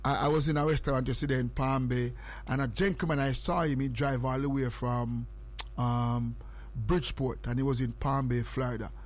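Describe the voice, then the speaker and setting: A man's voice: medium pitch, fairly even intonation, moderate pace. A man with a Jamaican accent hosting a morning radio show, repeating phrases and numbers over and over to hype his listeners. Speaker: radio host.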